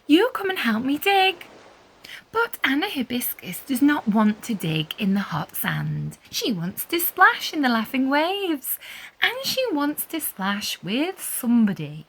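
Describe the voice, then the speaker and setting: Casting: female children's story reader, high and very lively, unhurried, emphatic delivery. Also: slightly rough, low-quality recording. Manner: deliberate emphasis, animated storytelling. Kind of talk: expressive read-aloud narration for young children.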